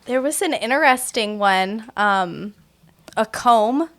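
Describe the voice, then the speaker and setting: A woman's voice: fairly high pitch, expressive, slow pace, a bit bright. A young woman who works in theater, answering questions casually as a guest in a podcast interview. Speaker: podcast guest, theater performer and assistant director.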